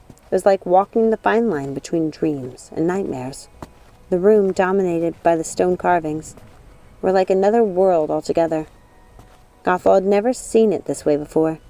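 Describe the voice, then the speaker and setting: A woman reading scripted storybook narration in a measured, expressive manner. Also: fairly low pitch, low-quality recording. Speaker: audiobook narrator.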